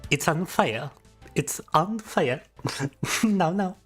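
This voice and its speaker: A man's voice: a little high, animated, very slow, a bit bright, soft background music. Playful young man. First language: Russian. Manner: playful and teasing, reads chat aloud and laughs often. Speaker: VTuber streamer. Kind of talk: casual livestream chat.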